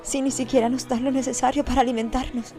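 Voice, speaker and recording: An actress playing a despairing, resentful asylum inmate, plaintive and dramatic, in an audio drama. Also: female voice, high-pitched, flat delivery, fast talker. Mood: despairing, bitter. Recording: prominent music under the voice.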